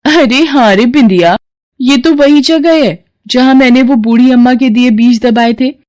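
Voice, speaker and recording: A woman's voice: sits high, unhurried, a little mellow, voice pushed up. A woman voicing an emotional village mother in a dramatic, exclamatory way for a Hindi cartoon moral story. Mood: excited. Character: Mala, emotional village wife and mother, proud then desperate.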